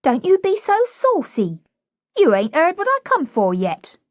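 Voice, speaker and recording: A woman's voice: high-pitched, huge pitch swings, measured pace, no echo, studio quality, voice pushed up, exaggerated voice. A woman voicing a proud, indignant young Cockney flower girl, saucy and whining, in a dramatic play reading. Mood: saucy, defiant.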